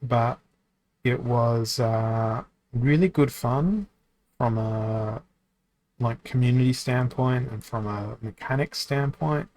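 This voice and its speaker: A man's voice: mid-range voice, slow pace, darkish tone, poor audio. A man live-streaming a card game, chatting casually with his viewers, rambling with frequent ums and restarts. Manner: reads and answers viewer chat.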